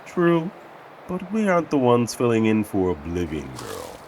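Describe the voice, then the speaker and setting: A man's voice: fairly low pitch, huge pitch swings, moderate pace, vocal fry, a little mellow, a little hiss or hum, heavily acted. An actor playing a tough, teasing male combat trainer in an audio drama. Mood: firm, teasing.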